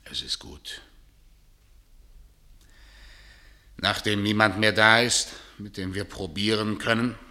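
Exasperated middle-aged playwright in a radio play, deep voice, really intense and bossy, stagey delivery. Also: male voice, moderate pace, sharp tone. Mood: resigned. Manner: commanding, declamatory. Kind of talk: theatrical radio drama acting.

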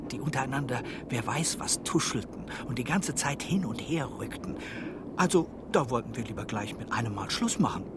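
An actor playing a nervous, furtive train traveller in a radio play, confiding grim absurdities in a hushed, breathy voice. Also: male voice, medium pitch, heavy background noise, natural voice. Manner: hushed, breathy, conspiratorial half-whisper. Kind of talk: literary radio play acting.

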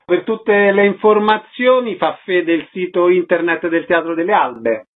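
A man's voice: high-pitched, a little slow. A man hosting a radio interview, asking short guiding questions. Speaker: radio host. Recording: lo-fi radio broadcast.

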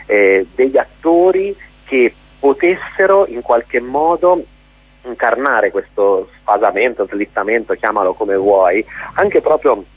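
A man being interviewed on radio as a theatre director, explaining his work in a searching way, often pausing to rephrase or clear his throat. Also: mid-range voice, expressive, no room sound. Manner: hesitant, searching for words, frequent throat-clearing. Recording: muffled, tinny phone line on radio.

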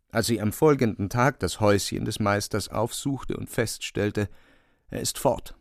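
Ein Schauspieler spricht in einem Hörspiel den leidenschaftlich beteiligten Autor-Erzähler, theatralisch, rhetorisch und mit dramatischen Pausen. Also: male voice, fairly low pitch, gravelly, no room sound, clean studio sound. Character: male author-narrator, passionately involved storyteller. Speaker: narrator. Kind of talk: literary radio drama narration.

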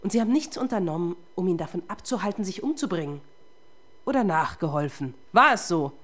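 A woman's voice: on the low side, animated, brisk. A woman playing a tough, probing police inspector in a crime radio play, speaking in a pointed and controlled way. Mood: accusing, insistent. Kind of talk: German crime radio drama acting.

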